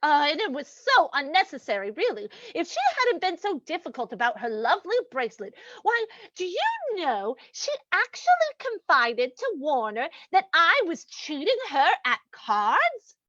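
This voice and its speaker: A woman's voice: high-pitched, sing-song, moderate pace, few pauses, bright, forceful tone, no room sound, lo-fi sound, full character voice. A woman playing a gloating, sweetly cruel lady murderess in an old-time radio mystery, cooing mock sympathy at her victim.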